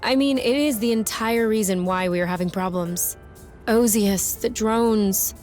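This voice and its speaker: A woman playing a feisty, defiant and anxious young woman in a video game, with a blunt, sarcastic, expressive delivery. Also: mid-range voice, measured pace, soft background music, close to an everyday voice. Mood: exasperated. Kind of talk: video game cutscene acting.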